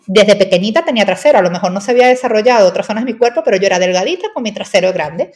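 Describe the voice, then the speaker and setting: A woman's voice: medium pitch, expressive, very fast, darkish tone. A young woman from Venezuela with a Venezuelan Spanish accent, a nutritionist chatting as a podcast guest and peppering her talk with tag questions. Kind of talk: casual interview chat.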